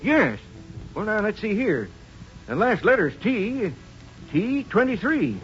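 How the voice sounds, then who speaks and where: On a muffled old radio western, an excitable cowboy sidekick. His voice is high and very lively, with a folksy, puzzled way of talking. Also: slow pace, soft background music, heavily acted. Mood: puzzled, excited. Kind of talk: 1950s radio western acting.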